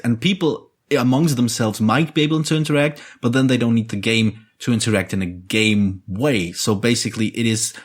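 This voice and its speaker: A man co-hosting a casual podcast about board games, explaining ideas in a measured, analytical way with dry wit. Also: fairly low pitch, breathy edge. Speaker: podcast co-host.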